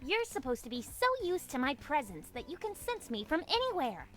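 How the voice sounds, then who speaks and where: Young anime girl, high swooping voice, impatient, scolding, teasing, visual novel game, music behind. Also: very animated, moderate pace, a bit bright.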